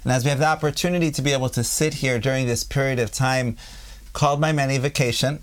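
A man with an American accent hosting a podcast, explaining and telling stories in a relaxed conversational way, often repeating phrases as he thinks. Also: medium pitch, fast talker. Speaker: podcast host. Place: room in Israel.

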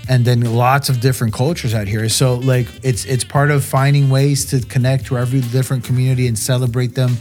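A man from Brazil talks about his soccer club in a relaxed podcast conversation. He speaks earnestly, circling back and repeating words as he works out his point. Faint music sits behind his voice. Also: medium pitch, brisk, hardly any pauses.

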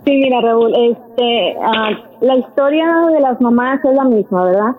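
A woman's voice: on the high side, unhurried. A woman calling into a radio show who explains things in detail, with frequent "este" fillers. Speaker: caller. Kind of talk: phone-in conversation. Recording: tinny phone line.

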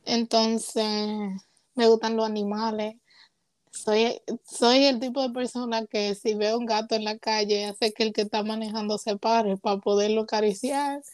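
Young woman, higher voice, casual hesitant guest, dry close mic. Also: unhurried, no room sound. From Puerto Rico. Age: young adult. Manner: relaxed, hesitant, mixes English words into her Spanish. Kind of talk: casual conversation.

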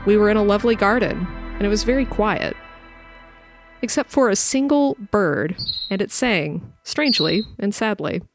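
Actress as a frightened young wife, narrating, earnest, music underneath, old radio play. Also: female voice, medium pitch, lively intonation, moderate pace, lightly acted. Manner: earnest, increasingly anxious. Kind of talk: 1950s radio drama acting.

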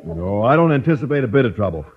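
Earnest, husky-voiced radio schoolteacher. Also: male voice, mid-range voice, very animated, quick pace. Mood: pleased, confident. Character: earnest, polite, oblivious male schoolteacher. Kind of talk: 1940s-50s radio comedy acting. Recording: muffled old-time radio recording.

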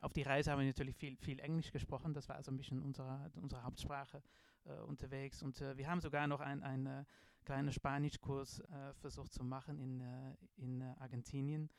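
A man's voice: mid-range voice, vocal fry, a little mellow, no echo. A middle-aged man with a Dutch accent, a design professor answering in a podcast interview, hesitant with many fillers and restarts. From Netherlands.

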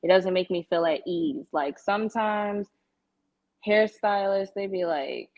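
A woman's voice: medium pitch, a little slow, dull audio. A woman guest chatting casually as a salon client, with a blunt, candid, slangy manner. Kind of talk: casual chat.

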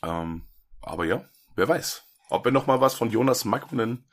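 A man's voice: medium pitch, expressive, moderate pace, husky. A man hosting a horror movie podcast, talking casually with lots of fillers and jokes while he has a cold. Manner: chatty, joking, many fillers and restarts. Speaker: podcast host.

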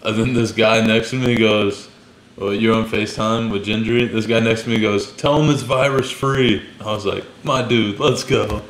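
A man from Cuba trades crude jokes in a loose podcast chat and laughs often. His voice sits fairly low. The recording has an echoey room sound with faint background noise. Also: fairly even intonation, normal speed. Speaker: podcast co-host. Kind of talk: casual chat.

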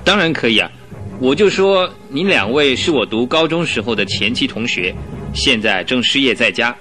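An eager, earnest young man in a radio drama. The voice is bright and pointed, at a middling male pitch, over faint music. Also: expressive, moderate pace. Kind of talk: Mandarin radio drama acting.

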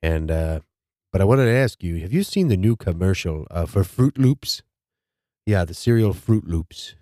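A man hosting a casual podcast, stuffed up, talking in a rambling, halting way and repeating his words. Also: low voice, expressive, dark tone, no room sound, clean studio sound. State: stuffed up nose. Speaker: podcast host.

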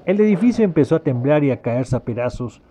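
A man's voice: on the high side, expressive, quick pace. A man reading scripted narration for a sci-fi noir audio drama. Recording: clear background noise, ambient sound effects.

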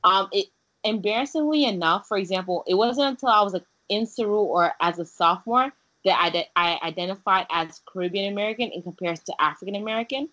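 A woman's voice: medium pitch, quick pace, pushed and bright, lo-fi sound. A young woman from Haiti, a guest in a casual interview, talking earnestly with many fillers and restarts. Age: young adult.

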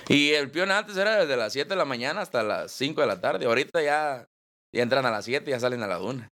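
A man's voice: mid-range voice, expressive, fairly quick, sharp tone, slight background noise. A man in his twenties with a Mexican accent, a banda singer telling stories as the guest in a casual interview. He jokes, laughs and uses slang. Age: 20s. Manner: laughing, joking storyteller, slangy.

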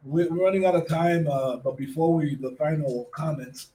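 Effusive Puerto Rican man, higher-pitched voice. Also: normal speed, dark tone, rough recording. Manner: effusive, repeats phrases, switches between English and Spanish. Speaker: radio host. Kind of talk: casual talk show chat. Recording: clear background noise.

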